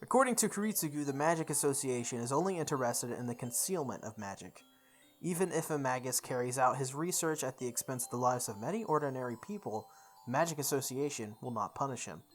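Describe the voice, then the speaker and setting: A let's play host narrates a visual novel in a mid-pitched voice over music. The reading is deliberate, like a story told aloud, and now and then trips on a word. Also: lively intonation. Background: background music.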